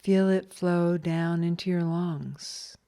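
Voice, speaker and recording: A woman leading a scripted guided meditation in a calm, soothing, measured way with long pauses. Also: fairly low pitch, clear, resonant tone.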